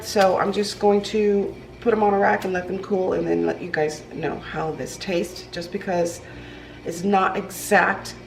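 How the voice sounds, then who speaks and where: A nasal, congested woman talks loosely to the camera as she works in her home kitchen. She rambles with fillers and restarts, her pitch sitting in a middling range. Clear kitchen noise and clatter run underneath. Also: poor audio. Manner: rambling, with many ums and restarts. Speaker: vlogger. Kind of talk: casual chatty cooking vlog. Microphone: camera propped on the counter.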